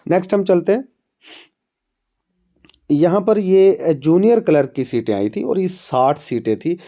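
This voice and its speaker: A man's voice: mid-range voice, mellow. A man with a Pakistani Urdu accent and a sore throat, giving chatty exam and job updates as an academy instructor. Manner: chatty, conversational, addresses viewers directly.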